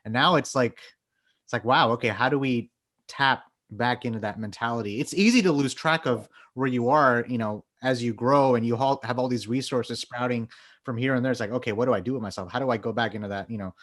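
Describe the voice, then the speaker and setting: Host, man from Bangladesh, friendly and quick, casual chat. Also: medium pitch, a bit bright. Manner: friendly, laughs easily, loose fillers. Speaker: interview host.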